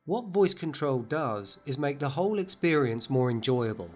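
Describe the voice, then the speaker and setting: Brief: male promo narrator, mid pitch, upbeat explainer, light music bed. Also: lively intonation, normal speed. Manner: enthusiastic, explanatory. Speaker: product narrator.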